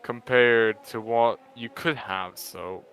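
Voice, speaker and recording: A man's voice: mid-range voice, slow, fairly bright tone, clear, resonant tone, rough recording. A man whose first language is Bosnian, chatting casually as a co-commentator on a sim racing livestream and laughing easily. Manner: chatty, laughs easily, jumps in over others.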